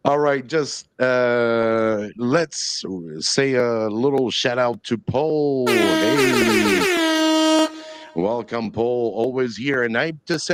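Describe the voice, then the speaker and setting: A man's voice: medium pitch, clear, resonant tone, no echo. A man hosting a live stream, an English teacher who talks with an enthusiastic, hype-building manner. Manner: enthusiastic, hypes the audience.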